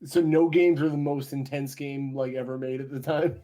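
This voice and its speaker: A man's voice: mid-range voice, fairly even intonation, normal speed, warm tone. A man co-hosting a casual podcast, rambling with lots of filler words. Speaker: podcast co-host. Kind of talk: casual chat.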